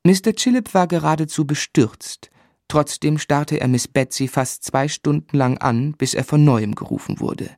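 Actor as wry guy telling his life story, measured literary narration, dry close sound. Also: a little high, darkish tone, no room sound, natural voice. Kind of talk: literary narration in a radio play.

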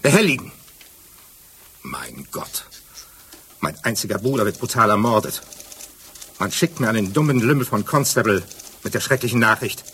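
An actor in a German radio play playing a touchy, bereaved gentleman under questioning, with a husky voice and formal, prickly manner. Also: on the low side, lively intonation, moderate pace, pauses often, exaggerated voice. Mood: indignant.

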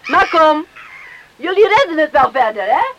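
A woman's voice: high voice, very animated, moderate pace, lo-fi sound. A woman playing a teasing, nosy, motherly country woman in a radio play, probing in a hesitant way. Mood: probing, cheerful. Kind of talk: Dutch radio play acting. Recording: noisy old radio recording.

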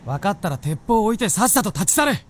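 A man acting as a stern but soft-hearted talking fox spirit in a radio drama, with a blunt, commanding way of speaking. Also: high-pitched, sing-song, fast, slight background noise.

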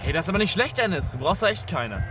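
An actor playing a glib, chummy young wheeler-dealer talking slang in a radio drama. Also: male voice, sits high, sing-song, fast, recorded from a distance, background noise. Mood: chummy, persuasive. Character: quick-witted young wheeler-dealer, self-styled manager, chummy. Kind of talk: naturalistic radio drama dialogue. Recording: inside a car, off-mic, music behind.

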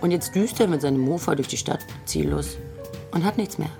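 Woman narrating radio play over loud music. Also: deep voice, lively intonation, a little fast, vocal fry.

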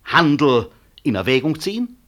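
An actor playing a proud, principled senior physician in a radio drama, blunt and dryly ironic. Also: male voice, fairly high pitch, very animated, moderate pace, a bit bright. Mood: indignant, scornful. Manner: blunt, incisive, sardonic. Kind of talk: 1960s German radio drama acting.

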